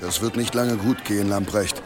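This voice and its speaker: A man acting a sober, measured war veteran who warns his fellow villagers in a German radio drama. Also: on the low side, over music, natural voice. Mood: grave warning. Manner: measured, grave.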